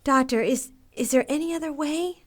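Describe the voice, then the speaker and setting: A woman's voice: high voice, normal speed, lightly acted. A woman playing a strong-minded, apologetic, earnest mother in an audio drama. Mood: worried.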